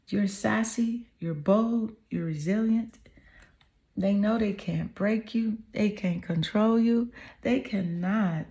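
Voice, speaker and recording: A woman with a slightly hoarse, mellow, warm tone gives a tarot reading straight to the listener. She speaks slowly and earnestly, repeating her affirmations and checking in with the listener often. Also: medium pitch. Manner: earnest, affirming, repeats phrases with "okay?" and "you know". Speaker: tarot reader. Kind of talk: tarot card reading to the viewer.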